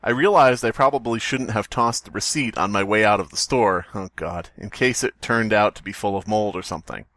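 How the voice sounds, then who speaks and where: A man's voice: lowish voice, brisk, few pauses, a bit bright, dry recording. A man co-hosting a podcast, bantering and reading in a casual, rambling, joking way. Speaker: podcast co-host.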